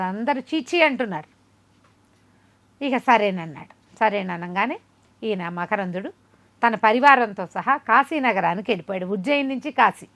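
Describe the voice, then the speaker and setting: A woman telling a moral folk tale as an oral storyteller, conversational and expressive, repeating phrases for emphasis and acting out the dialogue. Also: medium pitch.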